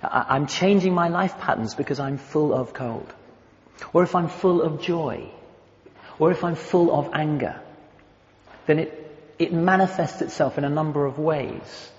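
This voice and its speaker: A man preaching a sermon to his church, conversational and thoughtful, pausing to search for words. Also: fairly high pitch. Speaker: pastor. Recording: echoey hall, dull lo-fi sound.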